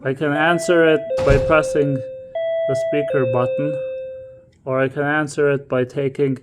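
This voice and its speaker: A man's voice: on the high side, level tone, unhurried, over loud music. A man instructing in an informal, step-by-step way, as in a casual hands-on tutorial. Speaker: instructor demonstrating equipment.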